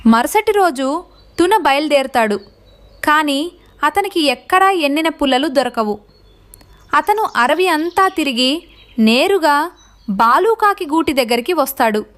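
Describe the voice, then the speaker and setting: A woman storyteller with a high voice narrates a children's tale. Her delivery is scripted narration, linking the scenes of a cartoon moral story. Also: expressive, a little slow, pauses often, fairly bright tone.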